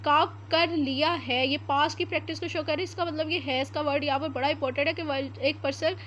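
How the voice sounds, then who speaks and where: A woman with a Pakistani Urdu accent giving an explanatory law lecture, reading English statute text slowly word by word with frequent "uh" fillers. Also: high voice, steady tone, fairly bright tone, highs cut off, a little hiss or hum.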